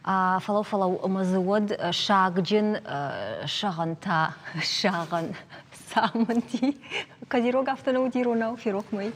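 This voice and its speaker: A woman hosting a talk show in conversational style, speaking with hesitant, drawn-out pauses. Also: medium pitch, slight background noise.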